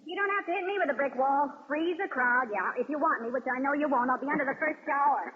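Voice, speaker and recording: An actress playing a young, wisecracking American army nurse in a 1950s radio drama, with a breezy, emphatic delivery. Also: female voice, high-pitched, quick pace, natural voice. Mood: wry banter. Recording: old radio broadcast, narrow, noisy, reverberant.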